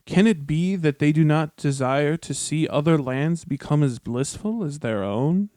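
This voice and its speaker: A man voicing a grand, aggrieved fantasy deceiver in a formal, measured dramatic reading. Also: on the high side, lively intonation, warm tone, no echo, studio quality. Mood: feigned lament.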